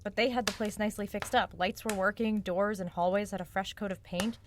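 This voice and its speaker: An actress playing a sharp, sarcastic young woman who probes for answers in a naturalistic audio drama. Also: mid-range voice, fairly even intonation, brisk, a little hiss or hum, close to an everyday voice. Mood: sardonic, insistent. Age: young adult. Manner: wry, probing, sardonic.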